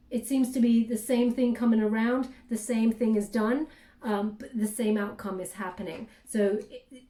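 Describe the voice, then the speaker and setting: Soft-spoken woman reading tarot, echoey. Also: mid-range voice, distant mic. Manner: soft, hesitant, many fillers, addresses listener warmly. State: losing her voice, clearing throat. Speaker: tarot reader.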